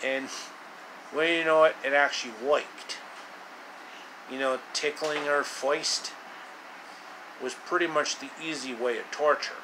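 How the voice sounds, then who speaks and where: A man retelling a fairy tale in his own loose way, with halting, drawn-out delivery and casual asides. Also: on the high side, steady tone, background noise. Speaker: storyteller.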